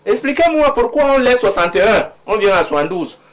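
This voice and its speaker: A man's voice: high voice, very animated, brisk. Argumentative man on a debate panel. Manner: argumentative, fires off rhetorical questions.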